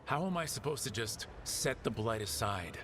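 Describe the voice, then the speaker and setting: A man's voice: on the low side, expressive, moderate pace, music in the background, lightly acted. A man playing a determined, heroic protector in a video game, speaking directly and insistently as he pleads a case. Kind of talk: dramatic video game acting.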